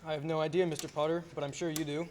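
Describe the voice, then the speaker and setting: A young man plays an earnest, frustrated young husband in a radio drama. His voice sits a little high for a man and tends to hesitate and stammer. There is light noise behind it. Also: level tone, very fast, darkish tone, lightly acted. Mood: wary. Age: young adult. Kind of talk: filmed radio play acting. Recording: faint background noise.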